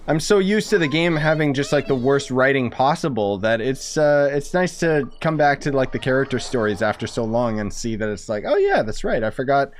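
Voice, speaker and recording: A man's voice: medium pitch, sing-song, a little fast, hardly any pauses, a little hiss or hum. A man giving casual, slangy, sarcastic commentary as he streams a story game. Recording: faint game music behind commentary.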